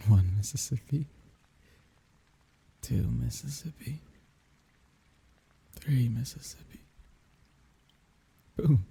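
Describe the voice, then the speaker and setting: A man acting a grieving lover saying farewell to his dead beloved in an intimate ASMR audio roleplay, speaking in a wry, tender, halting murmur. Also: lively intonation, dark tone, heavily acted. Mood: playful, bittersweet. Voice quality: husky, breathy, gravelly low voice.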